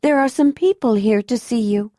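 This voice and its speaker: Woman doing a high, eager young acolyte. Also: normal speed, mellow, no echo, clean studio sound, natural voice. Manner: high, earnest, a bit breathless. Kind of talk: audiobook character voice.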